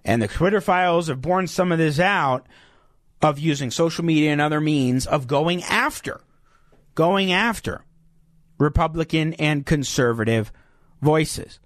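A man's voice: fairly high pitch, measured pace, no echo, studio quality. A man hosting a local talk radio show, speaking in an emphatic, opinionated and conversational way. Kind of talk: talk radio commentary and interview. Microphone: studio microphone.

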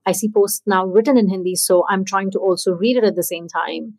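Woman, podcast host, casual chatty interviewer, dry close recording, mid pitch. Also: fairly even intonation, fairly quick, darkish tone, no echo. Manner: chatty, thinks aloud with fillers. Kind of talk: conversational interview. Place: in India.

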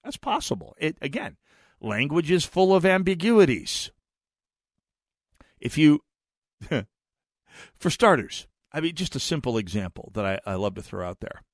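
A man's voice: on the high side, very animated, unhurried, pauses often, dry recording, studio quality. An American man, middle-aged, hosting a talk radio show in a chatty, digressive monologue with frequent restarts. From United States. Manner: chatty, digressive, repeats words when restarting.

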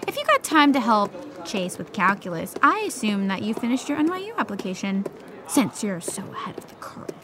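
A woman's voice: medium pitch, sing-song, moderate pace, background noise, lightly acted. A woman playing a teasing, pushy but supportive teenage best friend in naturalistic podcast drama, speaking emphatically. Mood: pressing. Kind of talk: naturalistic fiction podcast acting.

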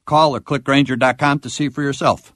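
A man's voice: mid-range voice, fairly even intonation, fairly quick, fairly bright tone, no echo, studio quality. A man reading a scripted radio commercial in a confident, plain-spoken way. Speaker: commercial voice-over.

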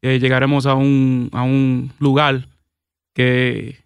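A man, a police officer from the Dominican Republic, speaking earnestly and haltingly, with restarts and some English fillers. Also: medium pitch, monotone, creaky, clean tone, dry recording.

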